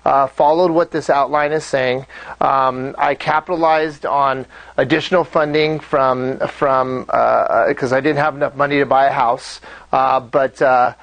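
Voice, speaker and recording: A man who works as a high school principal, sharing his story with a meetup in a loud voice with many pauses. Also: no room sound, rough recording.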